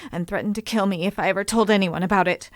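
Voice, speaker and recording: A woman playing a frightened, distressed middle-aged woman in an audio drama, halting and pleading. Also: mid-range voice, dry recording, natural voice. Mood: shaken, insistent. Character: frightened, distressed adult woman haunted by a stalker.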